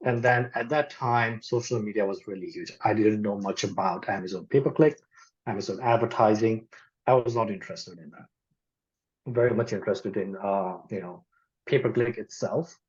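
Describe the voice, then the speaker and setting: A man's voice: fairly low pitch, normal speed, breathy edge. A young man from Nepal with a Nepali accent, talking candidly and casually as an interview guest, with lots of "like" and "you know". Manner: relaxed, candid, uses "like" and "you know" often. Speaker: guest, e-commerce marketer. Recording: dull lo-fi audio.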